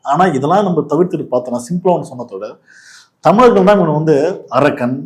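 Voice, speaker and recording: Fast-talking Tamil Nadu man, argumentative explainer. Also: a little high, animated, a little mellow. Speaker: political commentary host. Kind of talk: casual opinionated political discussion.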